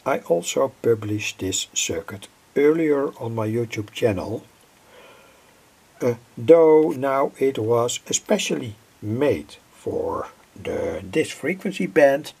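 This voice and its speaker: A middle-aged man with a gravelly, husky voice giving an informal, halting technical explanation as a hobbyist presenter. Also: medium pitch, big pitch swings, darkish tone. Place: indoor home electronics workbench.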